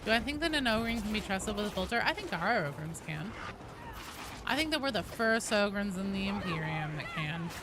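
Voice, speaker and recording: A woman's voice: mid-range voice, sing-song, fairly quick. A woman giving casual, sweary live commentary while streaming a game. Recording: clearly noisy background.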